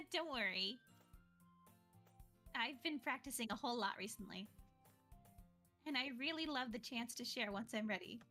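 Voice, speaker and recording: A woman playing a cheerful, confident high school club president in a visual novel game. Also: lively intonation, moderate pace, pauses often, pushed and bright, soft background music. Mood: sweet, friendly.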